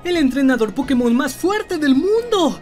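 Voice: high voice, animated, normal speed, darkish tone, soft background music. A performer voicing a cocky, stubborn young boy trainer in a Latin American Spanish cartoon parody fandub. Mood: stubborn, eager. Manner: stubborn, blithely menacing.

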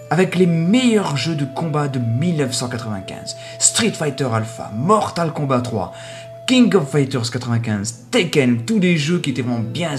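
A man playing out a comic phone conversation in a casual, hesitant, rambling way. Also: fairly high pitch. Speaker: radio show host. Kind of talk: comedy sketch phone conversation.

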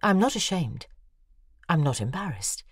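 Lovelorn, obsessive lover, confessional drama narration, husky, very dry close sound. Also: female voice, low-pitched, big pitch swings, unhurried, no echo, heavily acted. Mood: wistful, intimate. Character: lovelorn, obsessive woman confessing a love affair. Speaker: actor narrating an audio drama. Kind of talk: intimate scripted first-person drama narration.

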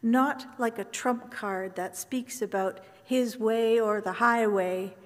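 A woman minister giving a gentle, reflective funeral sermon from the pulpit in a large church. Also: on the high side. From Canada. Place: large wooden church sanctuary with choir. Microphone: pulpit microphone from far camera. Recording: long church reverb.

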